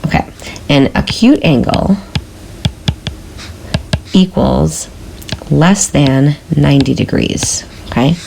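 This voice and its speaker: A woman teacher giving a recorded math lesson in a hoarse voice from a sore throat, patiently checking in with her students with a frequent "okay?". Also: sits low, takes it slowly, long pauses, noisy background.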